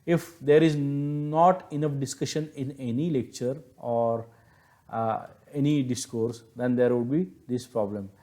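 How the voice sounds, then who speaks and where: A man's voice: medium pitch, fairly even intonation, a little slow, warm tone. A man with an Indian accent giving an explanatory lecture, stressing key words and rephrasing his points in a didactic way. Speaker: teacher, lecturer.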